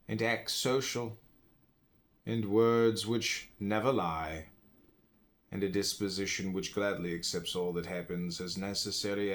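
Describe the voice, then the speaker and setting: Man reading philosophy aloud with deliberate emphasis. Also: medium pitch, fairly bright tone. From United States. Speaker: audiobook reader. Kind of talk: read-aloud philosophy narration.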